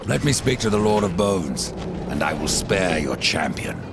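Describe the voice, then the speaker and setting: An actor playing a grim, sardonic horseman of the apocalypse in a video game, gravelly and dryly menacing, over music. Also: deep voice, big pitch swings, moderate pace, heavily acted. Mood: threatening. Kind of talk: dramatic video game voice acting. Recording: loud music under the voice.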